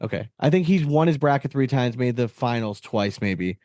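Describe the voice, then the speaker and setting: A man with a middle-pitched voice hosts a casual sports podcast, recorded close and dry. He speaks conversationally, with slang and firm opinions. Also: lively intonation, moderate pace, darkish tone, dry recording. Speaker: podcast host.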